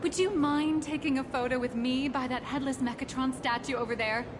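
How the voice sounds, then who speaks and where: A woman's voice: high-pitched, fairly even intonation, brisk, background noise, lightly acted. A woman voicing a polished, persuasive corporate guide in a video game, courteous and salesy, with music behind. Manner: smooth-talking, courteous salesmanship. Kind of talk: scripted game voice acting.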